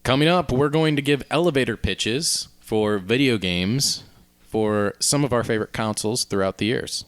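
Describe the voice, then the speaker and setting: A man's voice: medium pitch, moderate pace. A man hosting a podcast in casual chat, explaining things with a wry touch. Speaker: podcast host.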